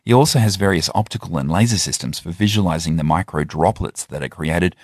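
A man's voice: low voice, brisk, darkish tone, husky, no room sound, studio quality. A man narrating a news report in a scripted way, linking interview clips.

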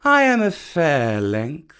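A man's voice: a little high, huge pitch swings, a bit bright, clean tone, voice pushed up, exaggerated voice. A man voicing a huge, proud and courteous old python in a storybook reading, drawing out his words with a hiss. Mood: stately. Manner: slow, drawn-out, hissing.

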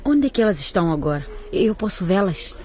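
A woman's voice: medium pitch, sing-song, brisk, dark tone, a little hiss or hum, close to an everyday voice. A woman playing an anxious, devoted middle-aged mother in a radio drama, fretful and exclaiming. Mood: worried. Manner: fretful, exclaiming, stumbling over words.

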